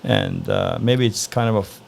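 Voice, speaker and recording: Interview guest, male, from Norway, gravelly low voice, easygoing and thoughtful. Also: a little hiss or hum. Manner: relaxed, thoughtful, frequent "uh" pauses. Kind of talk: casual interview answers.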